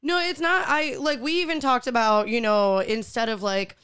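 A woman's voice: on the high side, steady tone, moderate pace, fairly bright tone, clean tone. A young woman in her twenties co-hosting a casual podcast chat at home, giggling and reacting a lot. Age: 20s. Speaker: podcast co-host.

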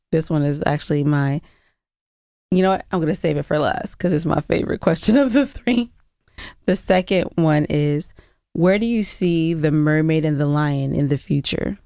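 A woman's voice: low-pitched, moderate pace, gravelly, dark tone, clean tone, dry recording, clean studio sound. A woman co-hosting a podcast, reading listener questions and chatting casually in a teasing, playful way. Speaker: podcast co-host.

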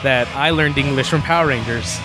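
A man's voice: medium pitch, fairly quick, music in the background, rough recording. A young man whose first language is Spanish, interviewing a film club member in a friendly, encouraging way. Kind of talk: casual interview.

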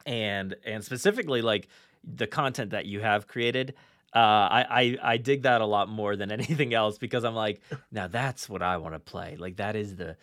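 Low-voiced man chatting, halting but enthusiastic. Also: fairly bright tone, dry recording, clean studio sound. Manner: hesitant, repeats and restarts phrases, enthusiastic. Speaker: podcast host. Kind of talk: casual fan chat, rambling countdown.

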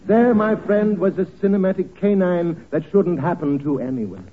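An actor playing a pompous, sly theater manager with florid, overly genteel speech in a 1940s radio comedy. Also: male voice, high voice, normal speed, lightly acted. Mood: wry, condescending. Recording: scratchy, tinny 1940s radio recording.

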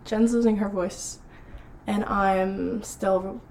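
A woman's voice: mid-range voice, fairly even intonation, slow, darkish tone. Giggly young woman co-host, getting over illness. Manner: giggly, easygoing. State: recovering from illness. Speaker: podcast co-host. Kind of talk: casual chat.

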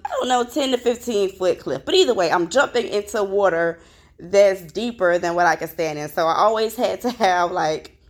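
A woman, hosting her own podcast in a chatty, upbeat way, with a slightly crackly, worn voice. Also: medium pitch. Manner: chatty and upbeat, addresses listeners directly, many fillers. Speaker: podcast host. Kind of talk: casual solo podcast chat.

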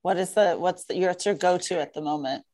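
A woman co-hosting a casual interview, reacting quickly and with enthusiasm. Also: on the low side, mellow. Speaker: co-host, interviewer. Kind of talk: casual chat.

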